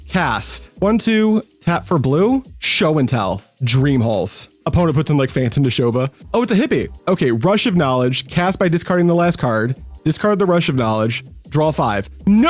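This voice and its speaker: A young man hosting his own card-game channel, talking through plays in a casual, energetic, self-deprecating way that jumps up into excited yelps. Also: fairly high pitch. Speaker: gaming channel host. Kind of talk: casual gameplay commentary.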